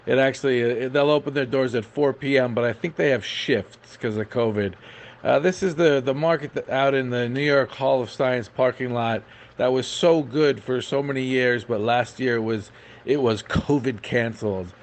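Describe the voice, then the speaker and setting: A man's voice: medium pitch, normal speed. Chatty, hesitant man giving informal events report. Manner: chatty, hesitant, frequently saying uh; enthusiastic. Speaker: podcast events correspondent.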